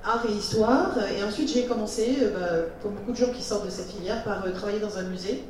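A woman's voice: medium pitch, fast talker, darkish tone, slight background noise. A woman interpreting live between English and French, hesitant with many fillers. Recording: echoey hall, far from the mic.

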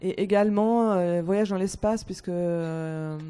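Mellow-voiced woman telling a childhood story. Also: fairly low pitch, unhurried, smooth. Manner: lively storytelling, repeats words. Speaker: panel guest. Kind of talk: personal anecdote.

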